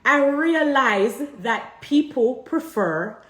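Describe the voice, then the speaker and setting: A woman's voice: high voice, animated, very slow. Jamaican woman ranting to her followers, keeps throwing questions back at them, echoey room. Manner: emphatic, repeats rhetorical questions to the audience. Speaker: vlogger addressing viewers. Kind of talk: direct-to-camera commentary.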